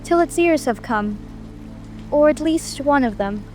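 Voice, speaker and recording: A woman's voice: high-pitched, animated, unhurried, dark tone, faint music behind. A woman playing an earnest, curious and prim young girl in a dramatic audiobook reading. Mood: wondering. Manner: prim, plaintive.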